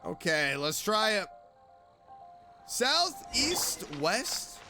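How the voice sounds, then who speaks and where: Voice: medium pitch, sing-song, extremely slow, gravelly. A streamer giving casual, playful commentary while playing a video game and reacting to it. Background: game music.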